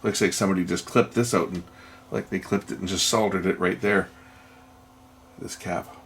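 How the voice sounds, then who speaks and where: A man's voice: low-pitched, fairly even intonation, moderate pace, slight background noise. A man giving unscripted, hands-on repair commentary, explaining matter-of-factly and thinking aloud as he works. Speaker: electronics repairer and video host. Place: indoors at a repair workbench.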